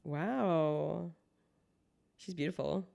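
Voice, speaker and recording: A woman hosting a podcast, chatting casually with quick interjections and easy laughter. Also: sits low, creaky, dark tone. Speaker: podcast host.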